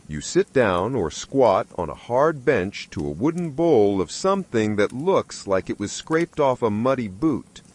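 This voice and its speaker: A man delivering scripted history narration in a wry, sardonic storytelling manner, addressing the listener directly. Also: medium pitch.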